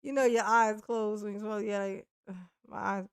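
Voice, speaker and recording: A woman's voice: mid-range voice, fairly even intonation, moderate pace, smooth, dry recording, studio quality. A woman in her forties chatting casually on a live stream, speaking bluntly and profanely with frequent restarts and laughs. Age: 40s.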